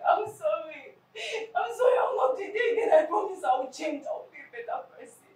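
A woman's voice: mid-range voice, animated, moderate pace, a little mellow, a little hiss or hum, voice pushed down, lightly acted. A woman acting a jealous young woman who sobs and pleads for forgiveness on stage. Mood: sobbing. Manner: pleading, sobbing apologies. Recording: echoey stage hall from far off.

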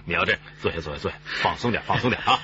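An actor playing a seasoned police chief who explains things in a measured, authoritative way in a radio drama. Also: male voice, low voice, natural voice. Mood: measured, serious. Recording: slightly dull, noisy recording.

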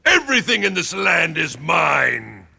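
A man's voice: fairly high pitch, sing-song, a little slow, creaky, pushed and bright, breathy edge, shouting, full character voice. A man acting a greedy, pompous male tyrant who gloats theatrically in a video game. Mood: gloating.

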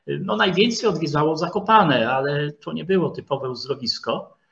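A man giving an informal history lecture, speaking haltingly and repeating words as he goes. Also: a little high, gravelly, fairly bright tone. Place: online video call presentation with screen share. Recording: dull, lo-fi online call audio.

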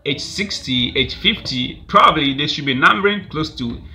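A man's voice: on the high side, lively intonation, moderate pace, sharp tone. A Ghanaian man in his thirties, filming himself at a webcam in a small room, rambling through celebrity gossip with constant fillers and frequent laughter. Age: 30s. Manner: rambling, laughs often, many "I mean" fillers. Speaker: vlogger. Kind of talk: casual celebrity gossip commentary. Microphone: webcam at desk.

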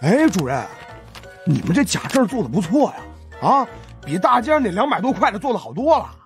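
Nosy, cheeky fat sidekick, audiobook; high-pitched, gravelly edge, whiny and exaggerated. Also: male voice, big pitch swings, fairly quick, soft background music. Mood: teasing. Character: cheeky, nosy fat young man, junior investigator.

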